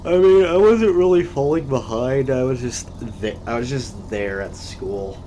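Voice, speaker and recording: A teenage boy co-hosting a casual chat, hesitant with dry deadpan quips. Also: medium pitch, measured pace. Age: teens. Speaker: co-host. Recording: noisy background.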